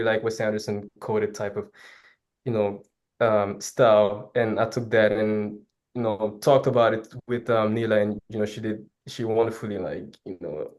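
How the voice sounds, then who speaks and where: A young man from Senegal whose first language is Wolof, a filmmaker guest talking casually about his work, upbeat and quick to laugh, often trailing into fillers. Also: lowish voice, darkish tone. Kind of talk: casual interview talk.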